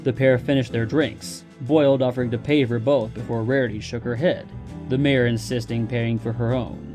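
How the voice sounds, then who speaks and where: A man's voice: mid-range voice, steady tone, normal speed, music in the background. A man reading a scripted story as its narrator.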